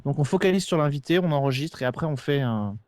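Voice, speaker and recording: Man, fairly high voice, podcast host, talkative, explanatory, hesitant repetitions, very dry recording. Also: animated, fast talker, a little mellow, dry recording. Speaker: podcast host of a TV series show. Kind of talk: casual podcast conversation. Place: remote internet call recording. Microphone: podcast microphone.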